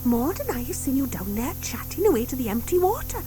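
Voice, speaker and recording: A woman voicing a gentle, reasoning schoolgirl witch in an audiobook, with a coaxing, concerned manner. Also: sits high, very animated, fairly quick, music in the background, pitched above the performer's own voice. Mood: gently concerned. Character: schoolgirl witch Enid, gentle, reasoning, faintly patronising friend.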